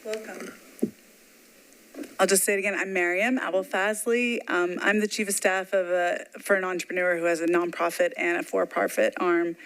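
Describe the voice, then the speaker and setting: A woman chairing a public commission meeting, brisk and upbeat, speaking into a table microphone in a meeting room. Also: on the low side, little pitch movement, a bit bright, clear, resonant tone, rough recording.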